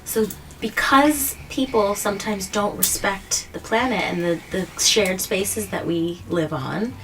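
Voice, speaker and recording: A woman with a mid-range voice hosts a read-aloud for children. She explains things gently and simply, often checking in with a question. The recording sits a little away from the mic, with faint background noise. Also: mid-range voice, unhurried, far from the mic, low-quality recording. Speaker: host of a children's story read-aloud show. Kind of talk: picture-book reading and simple explanations to a child.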